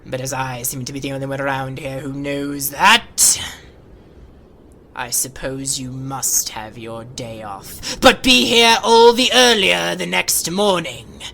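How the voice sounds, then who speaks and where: A woman's voice: a bass voice, slow, creaky, pushed and bright, exaggerated voice. A woman voicing a bitter, miserly old man who sneers at everyone in a harsh half-whisper. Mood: grudging. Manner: sneering, clipped.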